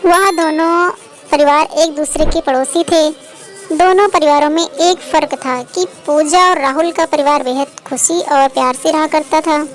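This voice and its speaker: A woman narrating a scripted moral story as the storyteller and host. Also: high voice.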